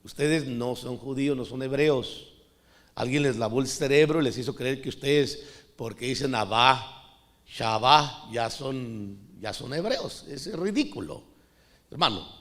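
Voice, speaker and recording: A man's voice: medium pitch, a little slow, fairly bright tone. A middle-aged man from Costa Rica preaching a sermon in a conversational way, often calling the listeners brother. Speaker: pastor.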